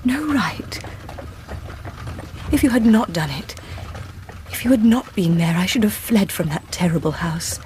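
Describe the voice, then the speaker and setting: Actress as low-voiced, genteel young British lady. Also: unhurried, pauses often, slight background noise, natural voice. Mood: pleading, hurt. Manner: polite, earnest, softly emotional. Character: genteel, sensitive young Victorian lady, candid and kind. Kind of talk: radio drama acting.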